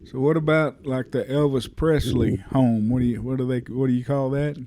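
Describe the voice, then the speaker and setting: A man's voice: mid-range voice, normal speed. A man hosting a podcast, chatting casually and loosely with many fillers and restarts. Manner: relaxed, hesitant with fillers and repeats. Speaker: podcast host. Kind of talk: casual interview.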